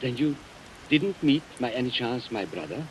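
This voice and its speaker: An actor playing a polite, shrewd, coolly mocking blackmailer in old-time radio suspense style, speaking formally and deliberately. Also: male voice, medium pitch, very animated, dull audio, close to an everyday voice. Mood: coolly menacing. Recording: vintage radio recording with hiss. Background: rain sounds.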